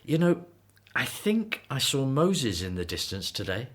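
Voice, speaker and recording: A man's voice: mid-range voice, big pitch swings, a little slow, slightly rough. An actor in a radio drama playing a weary, aging Hebrew slave father who is patient, earnest and devout. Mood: hesitant, wondering.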